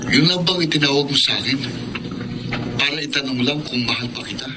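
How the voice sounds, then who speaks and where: An actor playing an expressive young man in a Filipino romantic radio drama. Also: mid-range voice, fairly quick, close to an everyday voice. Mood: cold, irritated. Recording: radio drama with noisy ambience.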